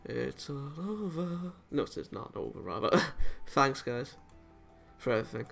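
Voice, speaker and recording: A man's voice: mid-range voice, lively intonation, a little slow, gravelly, fairly bright tone, soft background music. A man reading a visual novel aloud dramatically with off-the-cuff reactions, sometimes tripping over words and rereading them.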